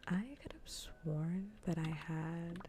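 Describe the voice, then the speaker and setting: A woman whispering softly and breathily in an ASMR gaming stream, playfully bossy and dryly sarcastic, close to the microphone. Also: fairly low pitch, slow pace, a little hiss or hum. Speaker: livestreamer.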